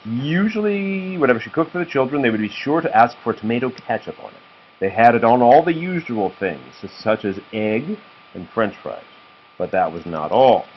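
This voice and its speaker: Male storyteller, dad at home reading to kids; patient, explanatory, animated intonation. Muffled amateur sound, light noise. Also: medium pitch, normal speed. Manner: patient, stops to explain words and answer questions. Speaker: father reading a picture book to his children. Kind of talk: read-aloud storytelling with asides to children. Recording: dull home recording with faint noise.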